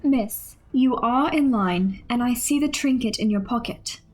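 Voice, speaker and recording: A woman playing a prim, composed, quietly ruthless adventurer in a radio drama, with formal, precise diction. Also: a little high, a little slow, faint music behind. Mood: cool, scheming.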